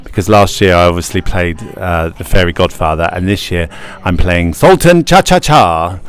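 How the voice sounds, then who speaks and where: A man's voice: deep voice, lively intonation, moderate pace. A man, a pantomime actor, answering interview questions in a chatty, enthusiastic way.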